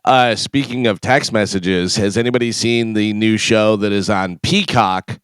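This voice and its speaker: A man with a smooth, resonant voice who sounds stuffed up, telling stories with a joking edge. The sound is close and dry, with no room around it. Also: fairly low pitch, steady tone, a little slow, fairly bright tone, no echo. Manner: joking storyteller. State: congested. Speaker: radio co-host. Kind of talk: casual morning radio chat. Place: radio studio.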